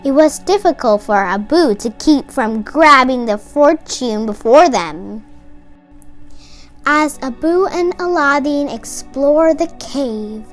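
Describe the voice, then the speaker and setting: A storyteller reading a children's storybook aloud in an expressive, dramatic way. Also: sits high. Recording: music behind the voice. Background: music.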